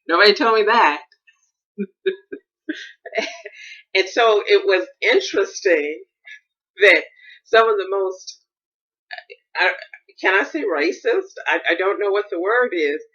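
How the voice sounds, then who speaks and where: A woman's voice: medium pitch, lively intonation, slow pace, long pauses, a bit bright, lo-fi sound. An American woman hosting a solo podcast, thinking aloud in a rambling, reflective monologue with frequent restarts. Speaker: podcast host.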